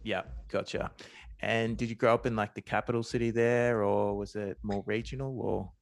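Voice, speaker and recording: A man with an Australian accent hosting a podcast interview, asking questions in a relaxed, friendly way. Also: on the low side, normal speed, creaky, dry recording. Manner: relaxed and friendly, with short affirmations. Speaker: podcast host.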